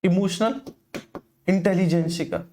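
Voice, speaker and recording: A man's voice: high-pitched, fairly even intonation, slow, warm tone, a little hiss or hum. A man teaching an exam coaching class informally, explaining repetitively and addressing his students directly.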